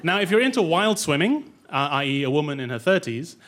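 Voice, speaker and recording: A man from Malaysia presenting a topical comedy segment to a live audience, with deadpan punchlines and chuckling at his own jokes. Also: fairly high pitch, brisk, very noisy.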